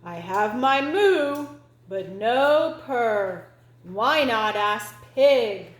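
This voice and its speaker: A woman voicing a loud storybook cow, booming and stretching out the words. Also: animated, extremely slow. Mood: brisk, blunt. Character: big storybook cow, loud, brisk mooing. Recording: roomy echo.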